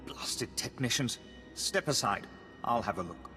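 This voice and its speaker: An actor playing a cold, cruel Sith overseer in a video game, commanding and condescending. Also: male voice, medium pitch, expressive, a little slow, natural voice. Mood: irritated. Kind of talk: video game villain acting. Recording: long hall reverb, music underneath.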